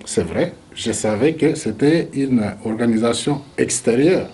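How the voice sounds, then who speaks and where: Measured man with a mellow voice. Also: mid-range voice, a little hiss or hum. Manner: measured, reasoning aloud with rhetorical questions. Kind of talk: debate argument.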